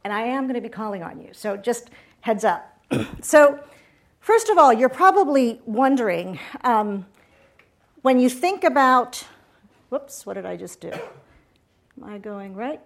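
Chatty woman giving a lively talk. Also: fairly high pitch, measured pace, vocal fry, pauses often. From Puerto Rico. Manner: chatty, asks audience questions. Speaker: guest speaker.

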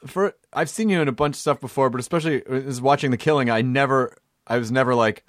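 A man interviews his guest with a mid-pitched voice, rambling and joking with casual swearing. The recording is dry and close. His talk is loose and conversational. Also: fast talker, dry recording. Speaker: interviewer host.

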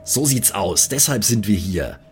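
A man's voice: lowish voice, animated, unhurried, darkish tone, soft background music. A man playing along in an improvised tabletop role-play, speaking in a wary, insistent manner. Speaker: player in a tabletop audio drama.

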